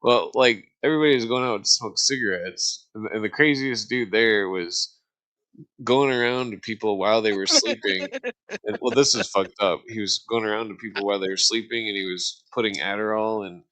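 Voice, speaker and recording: A middle-aged man rambling through casual stories in a halting, repetitive way, with slangy and profane phrasing. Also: on the low side, sharp tone, poor audio.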